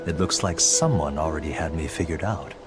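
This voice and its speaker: An actor playing a composed, formal crown prince with a resigned air in a game cutscene. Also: male voice, a bass voice. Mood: amused, apologetic. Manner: formal, measured wording. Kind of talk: animated game cutscene acting. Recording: loud music over dialogue.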